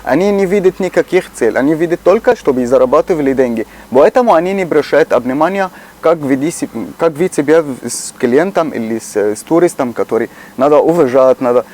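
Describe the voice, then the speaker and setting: Egyptian man in halting Russian. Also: on the high side, expressive, a little mellow. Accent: Egyptian Arabic. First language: Arabic. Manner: earnest, halting, slow to find words. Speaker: shopkeeper. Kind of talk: casual chat.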